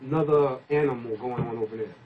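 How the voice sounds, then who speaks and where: A man's voice: medium pitch, fast, breathy edge, narrow, tinny audio. A man hosting a casual hip-hop radio interview, rambling informally and using slang. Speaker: radio host.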